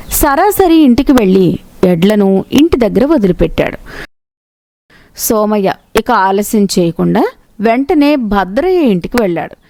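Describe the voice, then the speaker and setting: A woman's voice: fairly high pitch, warm tone, no room sound. A woman reading a scripted moral tale as its narrator.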